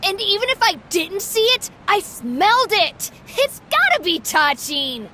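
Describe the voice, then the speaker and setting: A woman voicing an excitable, food-loving, cheeky little child in a video game. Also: very high voice, measured pace, noisy background, heavily acted. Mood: cheeky, sure. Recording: music behind the voice.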